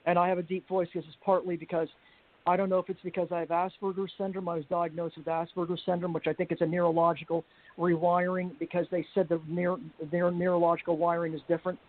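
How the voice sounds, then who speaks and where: A man rambling through a casual self-introduction on a group video call, halting often and restarting his sentences. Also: high voice, little pitch movement. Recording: tinny lo-fi call audio with hiss.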